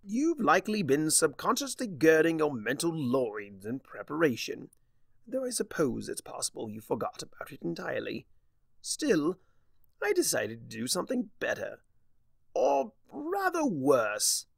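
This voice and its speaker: Casting: male narrator as pompous, vengeful chimera trickster for a storybook, gravelly, taunting, wildly varied pitch. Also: wildly swooping pitch, unhurried, no room sound, heavily acted. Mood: gloating menace. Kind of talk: dramatic fan fiction audiobook reading.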